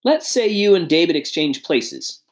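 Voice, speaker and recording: A man's voice: on the high side, big pitch swings, unhurried, pitched above the performer's own voice. A man voicing a confident, eager young pilot who talks in an upbeat way.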